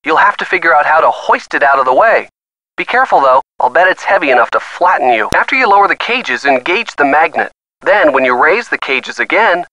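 A man's voice: on the high side, sharp tone, dry recording, low-quality recording. A man acting an earnest young boyfriend in a video game, giving encouraging hints with folksy turns of phrase. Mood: helpful, urging. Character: earnest young boyfriend coaching a teen detective by phone. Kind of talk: scripted video game hint lines.

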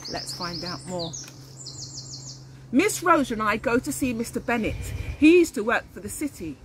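An older woman reads a storybook to young children with very animated, fairly high intonation. She explains and asks questions like an early years teacher. She is recorded outdoors, with birdsong and some noise behind her. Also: normal speed. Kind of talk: picture-book storytelling with asides to children. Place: outdoors in a leafy garden.

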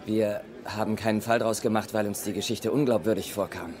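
A matter-of-fact male agent played by an actor reporting in a dubbed film. His voice is fairly low, with people murmuring behind. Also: steady tone, a little fast, lightly acted. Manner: factual. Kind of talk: German film dub acting. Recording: film soundtrack with crowd murmur.

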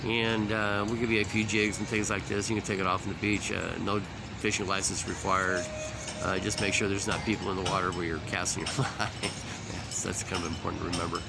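A man's voice: deep voice, little pitch movement, brisk, gravelly, very noisy. A man in his seventies giving a casual, unscripted fishing report to camera outdoors, with a folksy, rambling manner that repeats words. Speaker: fishing charter operator giving a weekly report. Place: outdoors at a marina storefront.

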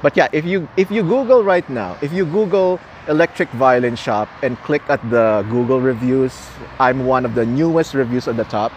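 A man's voice: mid-range voice, moderate pace, few pauses. A young man who speaks Tagalog as his first language, chatting casually with viewers on a live stream outdoors at night. He laughs often and repeats words while he talks. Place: outdoors at a gas station at night. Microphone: phone camera at arm's length. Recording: faint outdoor noise. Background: traffic and city ambience.

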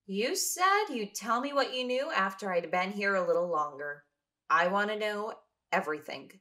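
A woman's voice: mid-range voice, expressive, moderate pace, bright, forceful tone. An actress playing a wary, insistent woman explorer in an audio drama. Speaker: lead actor in audio drama.

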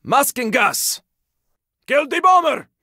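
An actor playing a gruff, battle-worn survivor in a zombie video game, giving terse, intense callouts in a gravelly, raspy voice. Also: male voice, very high-pitched, big pitch swings, unhurried, no echo, studio quality, full character voice. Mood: urgent, alarmed. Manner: terse, intense callouts, often strained or shouted. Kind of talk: video game combat voice lines.

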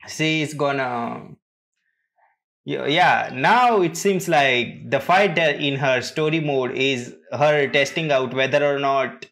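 A man's voice: medium pitch, a bit bright. A man hosting a reaction video, commenting casually in a hesitant, trailing way.

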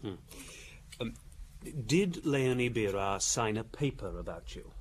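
An actor playing a businesslike, courteous investigating official in a radio drama, questioning methodically. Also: male voice, fairly low pitch, lively intonation, unhurried.

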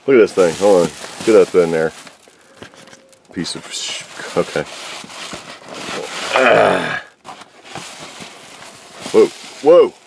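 Excitable man vlogging from his van. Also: low-pitched, takes it slowly, gravelly, long pauses, a little hiss or hum. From New Mexico, US. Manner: excitable, exasperated. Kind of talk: casual chat to camera. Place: inside a parked van. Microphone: camera mounted in the car.

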